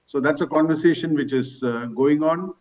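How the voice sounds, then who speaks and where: A man's voice: a little high, moderate pace, a little mellow. A man, a company executive, answering analysts' questions on an earnings call, explaining things point by point. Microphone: conference call. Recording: distant, slightly dull conference call audio.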